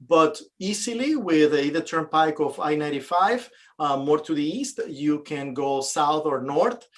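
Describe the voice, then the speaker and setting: A man's voice: fairly high pitch, animated, unhurried. A man, a guest realtor, giving long explanations in casual interview chat, full of fillers.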